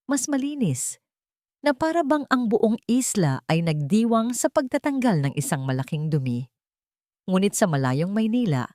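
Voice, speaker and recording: A woman's voice: a little high, a little mellow, no room sound, studio quality. A woman with a Filipino accent narrating a scripted, dramatic first-person story.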